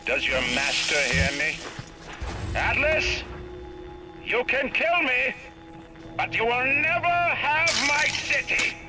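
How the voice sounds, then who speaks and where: An actor playing a proud, imperious older city founder in a video game, speaking in a grand, oratorical and commanding way. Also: male voice, extremely high pitch, sing-song, slow, long pauses, music in the background, exaggerated voice. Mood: bitter, brooding. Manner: lofty, commanding, mocking. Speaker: game character. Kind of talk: video game voice acting, audio diary monologue. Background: orchestral game score.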